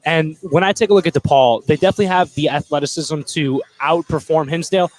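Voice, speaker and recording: A man's voice: on the high side, fast talker, no room sound. A man working as a color commentator on live basketball, giving casual, opinionated and emphatic analysis. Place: indoor basketball gym.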